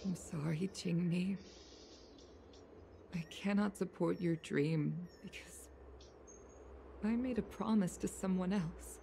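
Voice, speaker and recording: A woman's voice: fairly low pitch, takes it slowly, creaky, pauses often, mellow, slight background noise. An actress voicing a stern, dignified, weary mother in a video game, speaking formally and with measure. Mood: sorrowful.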